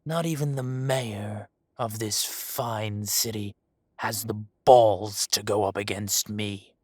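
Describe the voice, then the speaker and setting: A husky, unhurried dragon crime boss, as a man acts him out lets each threat drip with mocking calm. His voice is close and dry, with no room around it, and every word lands slowly. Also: fairly low pitch, no echo. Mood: silky menace. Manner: slow, purring, mocking menace. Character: menacing male dragon posing as a mafia boss. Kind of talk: roleplay audio drama.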